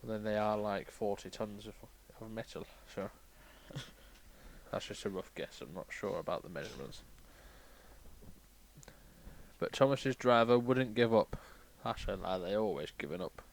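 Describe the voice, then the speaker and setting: A man playing a crabby, boastful railway boss who reads a storybook aloud with irreverent, deadpan asides. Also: lowish voice, moderate pace, vocal fry, low-quality recording. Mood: grumbling. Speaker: comic storybook reader. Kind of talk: irreverent ad-libbed storybook reading.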